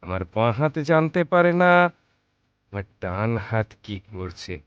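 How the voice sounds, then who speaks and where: A man's voice: lowish voice, big pitch swings, a little slow, clean tone, no room sound. An actor playing a sly, fawning, boastful henchman in a cartoon, obsequious and smug, with dull studio sound. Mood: smug boasting.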